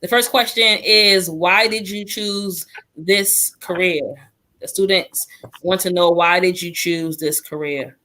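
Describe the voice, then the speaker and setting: A woman's voice: lowish voice, steady tone, takes it slowly, sharp tone. A woman moderating a casual panel, thanking guests and putting questions to them. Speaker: host, moderator.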